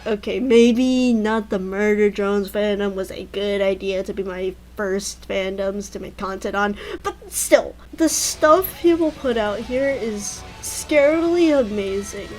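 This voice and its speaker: A woman's voice: mid-range voice, a little slow, hardly any pauses, darkish tone, soft background music, poor audio. A woman giving an informal, rambling personal tribute in a hesitant, self-deprecating way. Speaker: fan content creator.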